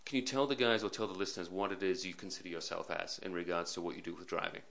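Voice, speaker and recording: Podcast host guy, talks quick, lowish voice, rambles through his questions. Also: fairly even intonation, creaky, fairly bright tone. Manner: long, rambling questions with repeated phrases. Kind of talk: casual interview hosting.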